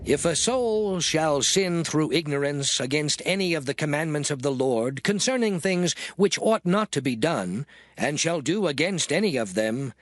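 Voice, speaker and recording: An actor playing a solemn lawgiving voice in a dramatized Bible reading, declaiming scripture. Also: male voice, fairly high pitch, expressive, moderate pace, no room sound, lightly acted. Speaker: scripture reader. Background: music under the voice.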